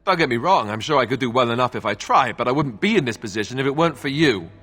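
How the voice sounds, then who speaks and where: A man's voice: mid-range voice, expressive, fast, pushed and bright. A man voicing a young, self-doubting heir to a throne in a fantasy video game, earnest and wry, with a conversational, hesitant way of talking. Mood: wry, reflective.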